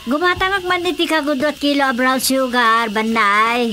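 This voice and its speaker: A woman's voice: high voice, steady tone, moderate pace, over music, close to an everyday voice. A woman playing a gossipy, complaining village woman in an Ilocano radio drama comedy. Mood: exasperated. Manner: exclamatory, gossipy.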